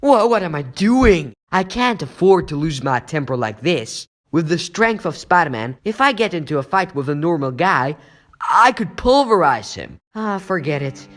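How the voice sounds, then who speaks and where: Male actor as earnest teenage superhero, comic book dub, raised pitch, lively melodramatic delivery, anxious, hot-tempered. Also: moderate pace. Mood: angry, then restrained. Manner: dramatic thinking aloud.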